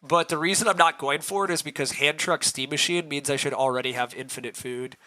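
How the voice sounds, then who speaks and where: Man, hoarse rough voice, bright sharp tone, slightly high, game stream commentary, thinking aloud, throat clearing. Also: fairly even intonation, brisk. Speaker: streamer playing a board game.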